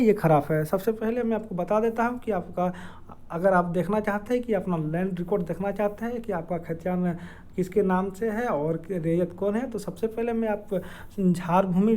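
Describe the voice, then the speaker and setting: A man with a Jharkhand accent giving an informal explainer in a halting, hesitant way, with many filled pauses and repeated words. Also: high voice, darkish tone. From Dumka district, Jharkhand, India. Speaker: educational video creator. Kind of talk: informal explainer with screen walkthrough.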